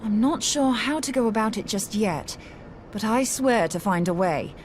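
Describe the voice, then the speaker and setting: Young scholar heroine for a fantasy game, played by a woman: spirited, down-to-earth, wry, average pitch. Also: animated, moderate pace, close to an everyday voice. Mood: eager, determined. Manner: informal, plain-spoken, wry. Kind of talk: video game voice acting. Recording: clear background noise.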